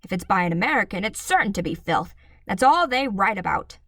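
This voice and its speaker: A woman's voice: mid-range voice, sing-song, a little fast, fairly bright tone, no echo, clean studio sound. A woman voicing an irritable, bullying father who snaps and barks with contempt in a storybook reading. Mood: scornful. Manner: snapping, barking, contemptuous.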